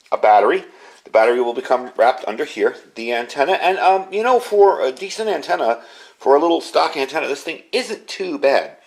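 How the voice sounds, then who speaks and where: Male product reviewer, medium pitch, casual hands-on demo delivery, enthusiastic and informal explainer. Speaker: ham radio product reviewer.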